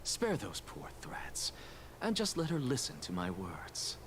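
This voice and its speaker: An actor playing a proud, disdainful philosopher lord in a video game, with haughty, theatrical formal speech. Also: male voice, mid-range voice, wildly swooping pitch, measured pace, slight background noise. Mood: haughty, defiant. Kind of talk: scripted video game voice acting. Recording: game music behind the voice.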